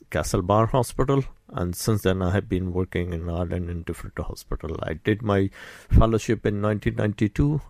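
Pakistani man in his sixties, halting. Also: sits low, creaky, a little mellow, dry recording, studio quality. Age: 60s. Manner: halting, many filler pauses. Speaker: guest, doctor and painter. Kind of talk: radio interview. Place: radio studio.

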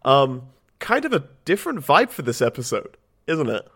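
Man, casual podcast host, bright tone, loose rambling delivery, home recording. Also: mid-range voice, big pitch swings, moderate pace, slightly rough. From Australia. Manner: rambling, many ums and restarts. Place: small home flat, blanket around the mic. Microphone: home podcast microphone.

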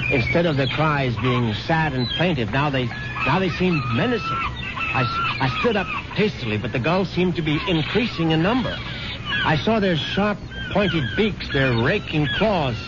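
A man's voice: medium pitch, very noisy, close to an everyday voice. A man acting a wry, jittery, well-to-do philandering husband in a radio drama, with an urbane, theatrical delivery. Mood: uneasy. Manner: urbane, theatrical, stammering when uneasy. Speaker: radio drama actor, narrator-protagonist. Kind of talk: 1970s radio drama acting, first-person narration and dialogue. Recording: dull lo-fi 1970s radio recording.

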